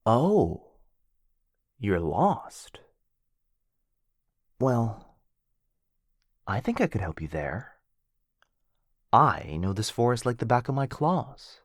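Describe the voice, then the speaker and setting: A man's voice: mid-range voice, big pitch swings, extremely slow, long pauses, dry recording, studio quality, full character voice. Otto, a man voicing a cheesy flirty werewolf. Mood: playful.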